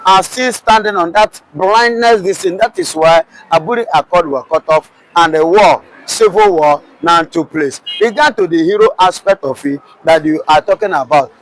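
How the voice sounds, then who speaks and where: A man's voice: a little high, normal speed, hardly any pauses, slight background noise, rough recording. A man with a Nigerian accent, arguing passionately in a street interview with many rhetorical questions and restarts.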